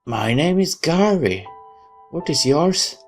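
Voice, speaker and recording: A man voicing a gentle, apologetic young traveler with a reassuring manner in a visual novel. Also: fairly high pitch, very animated, slow, soft background music, voice pushed up, full character voice.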